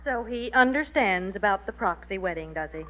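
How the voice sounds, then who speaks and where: A woman's voice: mid-range voice, animated, moderate pace, natural voice. An American actress playing a mild, supportive housewife and mother in a 1940s radio sitcom. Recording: muffled old 1940s radio recording.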